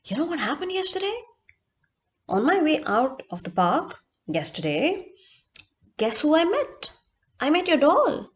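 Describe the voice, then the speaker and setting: Woman playing a gentle, kindly gentleman stranger. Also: high-pitched, big pitch swings, a little slow, pauses often, pitched above the performer's own voice. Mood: upbeat, kindly. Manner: gentle, reassuring. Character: kind, patient gentleman stranger helping a child.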